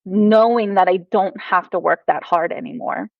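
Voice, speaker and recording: A woman's voice: mid-range voice, steady tone, normal speed, creaky, no echo, highs cut off. An American woman, a mom and former teacher, talking enthusiastically as a podcast guest. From United States. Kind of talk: casual podcast conversation.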